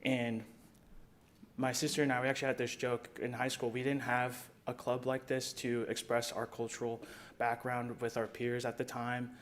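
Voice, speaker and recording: A man who grew up in Colorado Springs, a high school club sponsor, giving an earnest, conversational speech at a city council meeting. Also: flat delivery, normal speed, slight background noise. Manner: earnest, conversational, some fillers.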